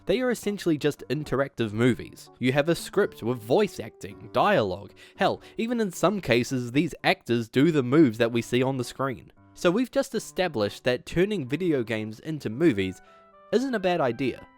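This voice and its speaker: A man's voice: mid-range voice, very animated, moderate pace, soft background music. A man hosting a video essay, reading a script with emphatic delivery and dropping in casual asides.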